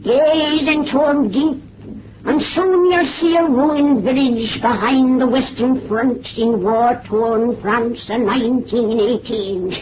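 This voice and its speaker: An ancient crone hosting a vintage radio horror show, slow and fairly high, with a cackling edge. She relishes the macabre in rustic, folksy speech on a muffled old recording. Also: background noise. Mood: gleeful macabre. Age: very old, as played. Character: gleeful, macabre 107-year-old witch storyteller.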